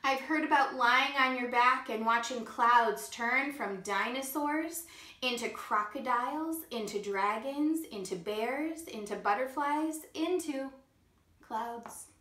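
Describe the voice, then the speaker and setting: A woman, a kindergarten teacher, gently reading a picture book aloud to children and pausing to ask them questions. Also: fairly high pitch. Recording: echoey room.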